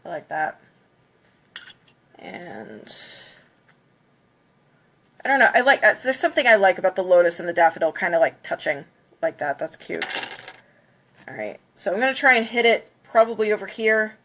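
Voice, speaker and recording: A woman's voice: medium pitch, very animated, moderate pace, pauses often, a bit bright, a little hiss or hum. Congested woman chatting on a livestream. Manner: chatty, upbeat, counts down before each smash. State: congested from a cold. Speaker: craft livestreamer. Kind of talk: casual livestream chat.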